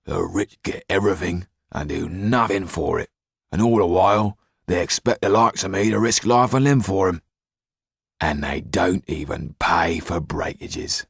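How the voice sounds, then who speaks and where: A man voicing a rough, bullying, grumbling English jailer in an audiobook, with coarse, threatening, slangy speech. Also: sits low, measured pace, no echo, studio quality, full character voice. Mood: bitter grumbling. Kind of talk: acted audiobook dialogue.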